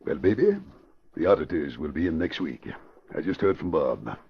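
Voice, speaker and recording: Deep-voiced, scheming crooked boss. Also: male voice, animated, moderate pace, vocal fry, breathy edge, heavily acted. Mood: brisk, scheming. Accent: American. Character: scheming middle-aged crooked investment boss, commanding and smooth. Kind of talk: 1940s radio crime drama acting. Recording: muffled old radio recording.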